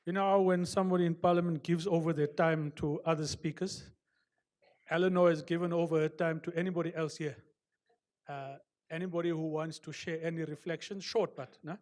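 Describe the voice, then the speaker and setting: A man's voice: fairly high pitch, steady tone, brisk, darkish tone. A man moderating a book launch discussion, coaxing the audience for comments. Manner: coaxing, informal prompting of the audience.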